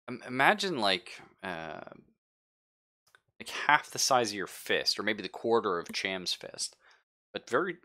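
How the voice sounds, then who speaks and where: A man acting as game master, narrating casually and haltingly as he thinks aloud. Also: lowish voice, bright, forceful tone. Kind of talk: casual narration of a tabletop roleplaying game, live stream.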